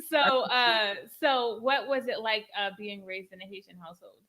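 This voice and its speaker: Woman host with a bright, fairly high voice, friendly and casual with a joke or two. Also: low-quality recording. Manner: friendly, joking. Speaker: podcast host. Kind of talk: casual interview hosting.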